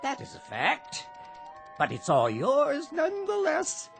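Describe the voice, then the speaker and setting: Slow, grandiloquent old barfly. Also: male voice, very high voice, wildly swooping pitch, gravelly, a bit bright, faint music behind, exaggerated voice. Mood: genial, expansive. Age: older. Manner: theatrical, florid, slow declaiming. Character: genial old barfly, grandiloquent, rambling drunk. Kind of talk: old-time radio drama acting.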